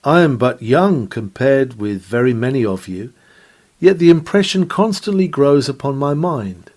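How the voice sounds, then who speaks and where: Man, mellow warm voice, mid pitch, earnest oratorical preaching, formal old-fashioned sermon delivery. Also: animated, a little slow. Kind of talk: dramatic read-aloud 19th-century sermon.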